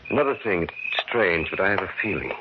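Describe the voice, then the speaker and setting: An actor playing an earnest, brooding man with dark premonitions in an old radio horror play. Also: low voice, animated, fast talker. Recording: muffled old radio, clear background noise.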